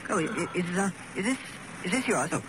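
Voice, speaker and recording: An actor playing a suave, polite hairdresser who gets flustered, in a radio thriller. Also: male voice, high voice, very animated, brisk. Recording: noisy old radio recording.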